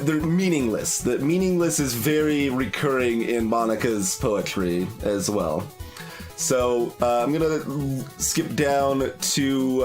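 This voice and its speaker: A man giving casual game commentary, rambling and tentative as he thinks aloud. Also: medium pitch. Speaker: let's play streamer.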